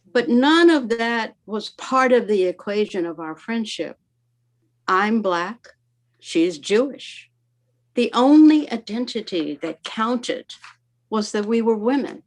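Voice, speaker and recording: An older woman from the American South reading her own poem aloud, wry and conversational, with playful asides to the audience. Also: mid-range voice. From Southern United States. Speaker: poet reading her work. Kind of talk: spoken word poetry reading.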